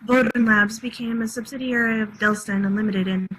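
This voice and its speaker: A woman's voice: a little high, steady tone, fairly quick, a bit bright, a little hiss or hum, close to an everyday voice, rough recording. A woman playing a literal-minded, unemotional artificial intelligence with formal, precise speech in a script reading. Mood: clinical. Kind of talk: dramatic live script reading.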